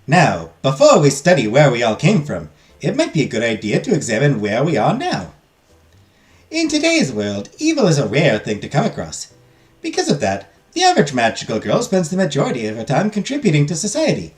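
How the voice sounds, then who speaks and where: Formal lady lecturer of a magical-girl academy, put on by a man, fake Spanish-like accent, pitch swinging widely. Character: prim female magical-girl academy teacher. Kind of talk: reading game dialogue aloud.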